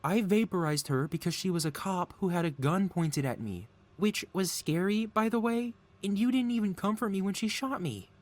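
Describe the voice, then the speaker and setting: A man's voice: sits high, moderate pace, few pauses, darkish tone, natural voice. A man playing a gleeful, lovesick supervillain mad scientist in an audio roleplay, melodramatic and wheedling with an air of feigned innocence. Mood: wounded, defensive. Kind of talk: ASMR audio roleplay, scripted character acting.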